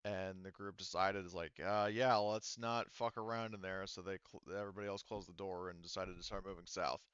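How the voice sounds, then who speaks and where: A man with a low, clean voice acts as game master, describing scenes off the cuff. He gropes for words as he goes. The sound is close and dry, though slightly dull. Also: flat delivery, fairly bright tone, clear, resonant tone, dry recording. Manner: halting, searching for words while describing scenes. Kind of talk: improvised narration of a tabletop roleplaying game.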